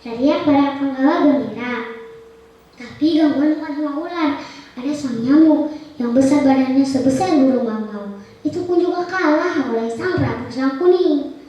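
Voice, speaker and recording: A woman's voice: high voice, normal speed, dark tone. A young girl telling a folk tale theatrically, declaiming with dramatic emphasis. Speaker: storytelling contestant. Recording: echoey room, distant muffled mic.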